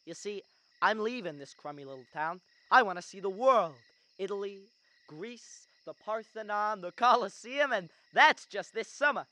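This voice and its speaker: A man's voice: sits high, lively intonation, bright, forceful tone, no echo. A young man acting in a radio drama as an eager, dreamy small-town youth who stammers while courting. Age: young adult. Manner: halting, stammering.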